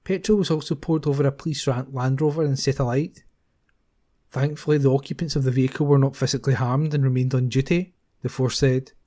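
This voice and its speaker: A man reading newspaper articles aloud in a steady, careful way, now and then restarting a word. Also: medium pitch, a little fast. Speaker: volunteer newspaper reader.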